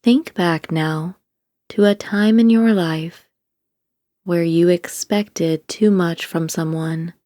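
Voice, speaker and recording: Female guided-meditation narrator, lowish relaxed voice, calm deliberate delivery, very dry close recording. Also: dry recording. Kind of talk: scripted guided meditation, slow gentle instruction.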